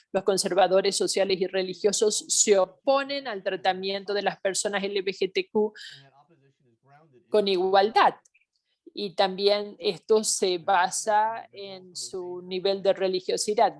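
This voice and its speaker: A woman simultaneously interpreting a health training webinar, speaking in halting phrases with pauses and self-corrections. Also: mid-range voice. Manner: halting delivery with pauses and restarts.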